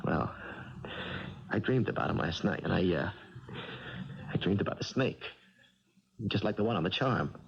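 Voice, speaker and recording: An actor playing a plainspoken, uneasy young boxer with hesitant, stammering speech in a 1970s radio drama. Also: male voice, sits low, expressive, normal speed, long pauses. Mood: scared, confiding. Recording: muffled old radio broadcast.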